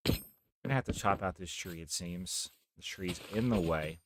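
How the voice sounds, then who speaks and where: A young man with a deep voice, rambling softly through casual live gameplay commentary as a streamer. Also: normal speed, background noise. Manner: rambling, talks softly, near whispering.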